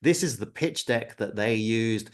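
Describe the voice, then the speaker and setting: A man's voice: on the low side, expressive, measured pace. A man in his forties who grew up in the UK, giving a live webinar presentation in a conversational way with many filler words and a self-deprecating tone.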